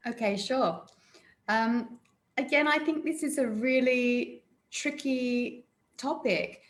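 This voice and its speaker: A woman's voice: mid-range voice, roomy sound. A woman panelist thoughtfully explaining abuse and bystanders during an online panel discussion.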